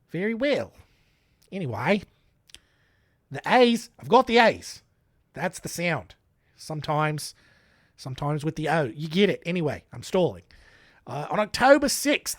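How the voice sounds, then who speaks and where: A man's voice: a little high, dry recording, clean studio sound. A man telling crime stories for laughs in a shaky put-on Australian accent, rambling into self-mocking asides. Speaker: storyteller. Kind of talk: comedic true-crime retelling.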